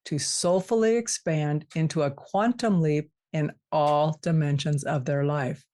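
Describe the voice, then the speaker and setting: A woman's voice: low-pitched, a little mellow. Upbeat woman podcast host. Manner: upbeat, enthusiastic. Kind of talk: reading a guest introduction, then interviewing.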